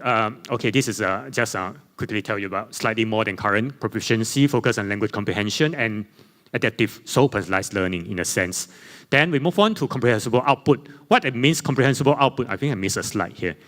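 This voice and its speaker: A man presenting slides at a conference panel, speaking English with Chinese as his first language, in a halting way full of fillers and self-corrections. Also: medium pitch, expressive, fairly bright tone. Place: conference hall. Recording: long reverb in a conference hall.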